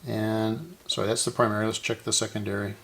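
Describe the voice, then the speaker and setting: A man with a lowish, gravelly voice narrates a hands-on repair in a casual way. He thinks aloud and often restates what he just said. Faint noise and clicks sit behind his voice. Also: little pitch movement, fairly quick, fairly bright tone. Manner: thinks aloud, often corrects himself mid-task. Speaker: host of a radio repair channel.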